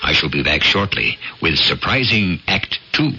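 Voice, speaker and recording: A man's voice: low-pitched, big pitch swings, moderate pace, background noise. A man narrating an old-time radio drama as its host, in a wry, theatrical, scripted style. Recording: muffled radio broadcast. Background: music.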